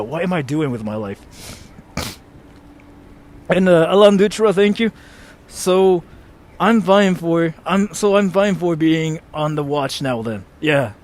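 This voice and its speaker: A man's voice: sits high, a little mellow. A young American man on a casual live stream, joking about himself and thanking viewers for donations. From United States. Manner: joking, self-mocking, reads chat aloud.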